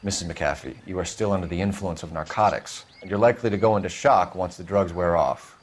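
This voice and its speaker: An actor playing an earnest male police detective who questions people in a firm, measured way. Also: low-pitched, slight background noise, close to an everyday voice. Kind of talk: video game voice acting.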